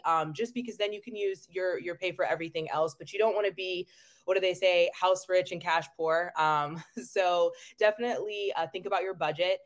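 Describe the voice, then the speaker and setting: A woman nurse hosting an online webinar on a video call, chatty and upbeat, with lots of ums and frequent laughs. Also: lowish voice. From North Carolina, US. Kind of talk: casual webinar presentation. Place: home room.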